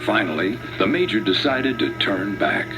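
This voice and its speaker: A man reading a storybook story in an expressive, dramatic way. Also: fairly low pitch, normal speed. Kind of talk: scripted storybook narration. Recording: narration over loud music. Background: loud background music.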